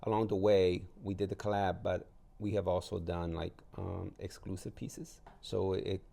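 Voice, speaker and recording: Deep, gravelly man telling stories casually. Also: level tone, moderate pace, mellow. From El Salvador. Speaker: interview guest, artist and gallery owner. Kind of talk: casual interview storytelling.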